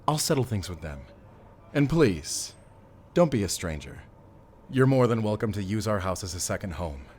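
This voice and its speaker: A man's voice: on the low side, big pitch swings, normal speed, darkish tone, slight background noise. An actor playing a middle-aged, wealthy, doting father in an audio drama, with a pompous, emphatic way of talking. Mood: warm fatherly. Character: wealthy, doting, commanding father of a grown son.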